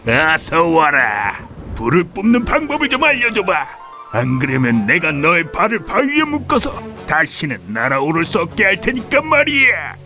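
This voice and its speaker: Man playing an arrogant, forceful thunder god. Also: a little high, huge pitch swings, normal speed, faint music behind, full character voice. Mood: menacing. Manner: taunting, demanding. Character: arrogant, greedy, spiteful male thunder god.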